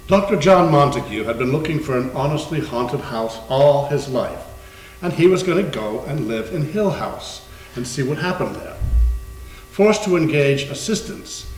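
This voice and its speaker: A man reading scripted story narration aloud for a readers theater. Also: mid-range voice. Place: indoor room, live library reading. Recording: echoey room with faint hum.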